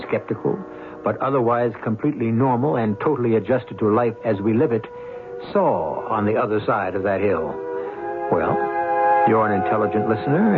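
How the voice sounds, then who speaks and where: Low-voiced male radio suspense host, tinny. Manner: deliberate, teasing, rhetorical. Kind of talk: scripted suspense narration addressed to the listener. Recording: tinny old radio recording, music behind.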